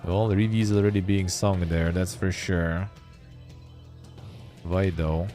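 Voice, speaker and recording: A man's voice: low voice, flat delivery, takes it slowly, warm tone, soft background music. A man hosting a live stream, chatting offhandedly and reading text aloud with wry asides. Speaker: streamer and show host. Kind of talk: casual live-stream commentary.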